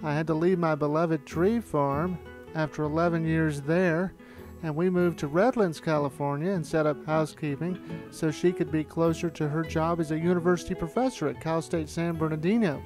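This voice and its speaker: High-voiced man narrating over music. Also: steady tone, normal speed, a little mellow. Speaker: amateur audio drama producer and narrator. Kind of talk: retrospective documentary narration. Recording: music bed behind the voice.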